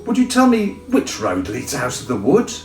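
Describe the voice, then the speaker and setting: A man's voice: medium pitch, wildly swooping pitch, normal speed, a little mellow, echoey room, far from the mic, faint music behind, voice pushed up. A man voicing a polite, hesitant, curious young girl in a storybook. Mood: polite, doubtful. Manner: polite, doubtful.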